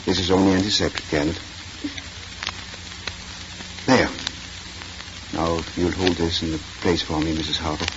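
An actor playing a kindly but firm family doctor in a 1940s radio drama. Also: male voice, sits low, lightly acted. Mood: calm, reassuring. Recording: noisy, muffled 1940s radio recording.

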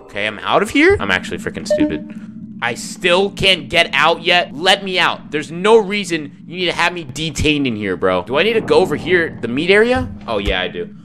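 A young man playing a horror game with a headset on in a dark room, talking in slangy, excitable, jokey bursts and yelling when scared. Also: fairly high pitch, normal speed. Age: young adult. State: losing his voice. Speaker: gaming YouTuber. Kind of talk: reactive horror game commentary. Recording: game music underneath.

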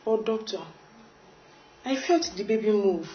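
An actress playing a weary pregnant patient in a TV drama, with a Nigerian accent. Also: female voice, medium pitch, lively intonation, a little slow, natural voice. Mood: weary, complaining. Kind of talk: acted TV drama dialogue. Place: small indoor consulting room. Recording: muffled, echoey room.

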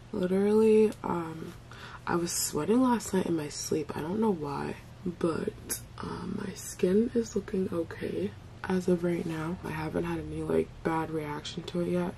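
Young woman w a gravelly lowish voice, chatty skincare vlog at home, bit of hiss behind. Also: a little mellow. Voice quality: raspy. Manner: chatty, talks while applying products. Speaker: beauty vlogger. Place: bedroom at home.

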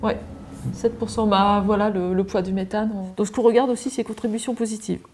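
A woman's voice: mid-range voice, fairly even intonation, fairly quick, a little mellow, a little hiss or hum. A woman, a farm adviser explaining audit results methodically at a farmhouse kitchen table, with many fillers.

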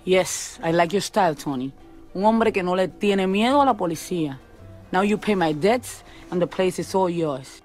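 A woman's voice: lowish voice, normal speed, a little mellow, close to an everyday voice. Woman voicing a shrewd lounge owner, sly, bargaining, faint music. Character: flirty, shrewd female Cuban lounge owner. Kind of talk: video game cutscene acting.

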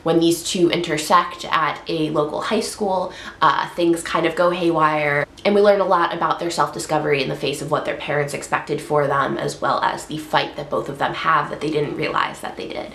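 A young woman with a low, slightly gravelly voice, congested from a cold, gushes about books on her vlog. She chats casually with plenty of fillers. The room around her sounds echoey. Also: steady tone, normal speed, hardly any pauses, fairly bright tone, slight background noise. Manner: chatty, upbeat, frequent fillers. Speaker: book vlogger. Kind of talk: casual book review vlog. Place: bedroom, indoors.

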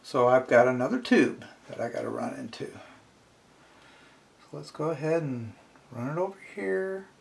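A man's voice: medium pitch, lively intonation, moderate pace, creaky, pauses often. A middle-aged man giving a casual hands-on tutorial, informally thinking aloud as he talks himself through each step. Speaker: instructor. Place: indoors at a wooden workbench.

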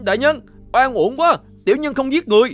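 High-pitched, pleading cartoon suspect. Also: male voice, animated, a little fast, soft background music. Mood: panicked denial. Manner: servile, pleading, hesitant. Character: frightened, pleading male commoner accused of murder. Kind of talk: cartoon folk-tale dubbing.

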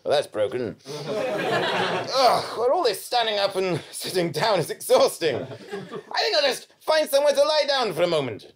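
A man's voice: high-pitched, wildly swooping pitch, normal speed, slight background noise, heavily acted. A man on a small theatre stage playing a bumbling, nervous hero in a comic audio drama, stammering and flustered. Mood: self-narrating. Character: bumbling, nervous hero posing as a monster bear. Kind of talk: live comedy audio drama performance. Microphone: microphone on a stand.

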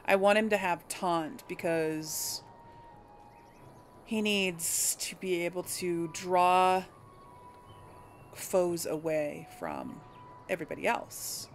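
Woman, middle-pitched, unhurried, casual gaming commentary, musing aloud, noisy background with game ambience. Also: animated. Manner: musing, hesitant self-correcting talk to viewers. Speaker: gamer hosting a role-play playthrough.

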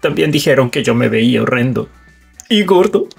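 A man playing a cheerful, coaxing teenage boy in an anime fandub, with a husky, gravelly voice and a Latin American accent. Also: medium pitch, expressive, moderate pace, faint music behind, heavily acted. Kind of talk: anime comic fandub acting.

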